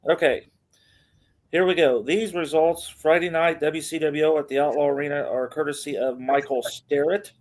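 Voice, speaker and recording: A middle-aged man hosting a podcast, casually reading out wrestling news and announcements in a halting, hesitant way. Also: fairly high pitch, steady tone. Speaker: podcast host.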